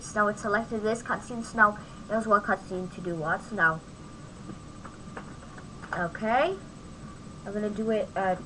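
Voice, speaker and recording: Bright high-voiced woman hosting, noisy recording. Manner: informal, thinking aloud while demonstrating. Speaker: tutorial host. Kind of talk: casual off-the-cuff screen-recorded software tutorial. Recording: noisy home microphone recording.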